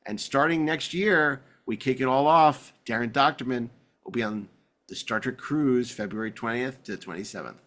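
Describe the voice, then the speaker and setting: A man's voice: mid-range voice, moderate pace, pushed and bright. A man hosting a podcast in casual banter, rambling along with enthusiasm and wry humor. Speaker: podcast host.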